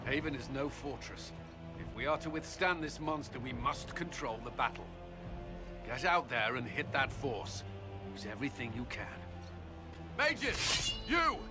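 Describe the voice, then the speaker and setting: An actor playing a resolute military commander in a video game, urgent and forceful, with clipped, commanding speech. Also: male voice, medium pitch, sing-song, unhurried, over music. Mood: grim, decisive. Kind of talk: dramatic video game acting.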